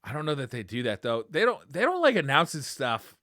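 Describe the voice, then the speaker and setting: A man's voice: mid-range voice, wildly swooping pitch, a little fast, sharp tone, no room sound, studio quality. A man co-hosting a podcast, chatting casually and with enthusiasm about games. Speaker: podcast co-host. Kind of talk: casual gaming chat with commentary.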